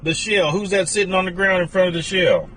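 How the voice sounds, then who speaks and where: A man's voice: sits high, fairly quick, fairly bright tone, a little hiss or hum, rough recording. Upbeat man hyping his stream viewers. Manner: hypes viewers, repeatedly urging shares and likes; running commentary on a street scene. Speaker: live streamer monitoring a police scanner. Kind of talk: casual live-stream chatter.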